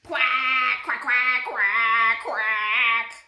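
A woman voicing excitable storybook farm animals, with exaggerated sing-song calls and short rhymes. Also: a little high, very slow, heavily acted. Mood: loud, singing. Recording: roomy echo.

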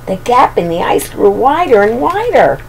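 A woman's voice: on the high side, very animated, moderate pace, creaky, darkish tone. An older woman reading a storybook aloud and chatting affectionately to young children. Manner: affectionate, talking to small children. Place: small bedroom indoors. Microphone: webcam at arm's length. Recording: noisy home webcam recording.

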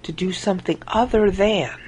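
A woman giving an informal Bible teaching monologue over a screen recording, acting out quoted lines with big jumps in pitch. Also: normal speed, darkish tone, slightly rough.

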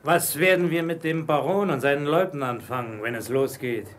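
Radio play: male actor as restless mutinous pirate, raised pitch, faint background noise. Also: moderate pace, close to an everyday voice. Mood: impatient.

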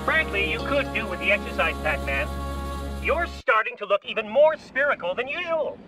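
Distant high-voiced ghost scientist. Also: male voice, very animated, moderate pace. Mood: dry. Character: eccentric nostalgic brainy male ghost scientist. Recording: distant radio-like sound under loud music.

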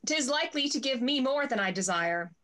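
High, animated, scornful jilted lady, acted by a woman. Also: fairly quick. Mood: irritated, curt. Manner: scornful, theatrical. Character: jilted, bitter, proud lady of high society. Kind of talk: classical stage comedy acting. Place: indoors at home, on a video call.

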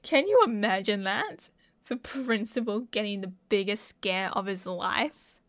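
A young woman with an Australian accent playing an excitable, mischievous college friend in a casual audio roleplay. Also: mid-range voice, unhurried. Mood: mischievous, reminiscing. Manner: playful, giggly, slangy. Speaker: roleplay voice performer. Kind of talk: ASMR roleplay acting, casual chat to a friend.

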